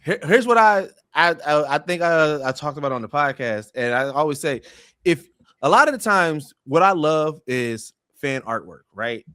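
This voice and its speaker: A man's voice: a little high, expressive, normal speed, pushed and bright, clean tone, dry recording. A man speaking as a podcast panelist, explaining his opinions in an emphatic, colloquial way. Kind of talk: casual pop-culture discussion.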